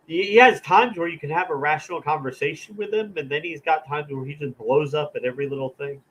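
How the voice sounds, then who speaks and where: A man's voice: a little high, a bit bright, poor audio. A man with a nasal voice co-hosting a podcast in casual chat, often stumbling over the start of his sentences. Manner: hesitant, halting sentences. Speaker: co-host.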